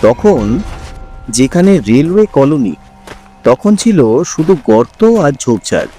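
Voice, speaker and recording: A male horror storyteller with a slightly high voice that rises and falls widely. He narrates in the first person, suspenseful and deliberate, pausing between short phrases over a light music bed. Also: sing-song, warm tone.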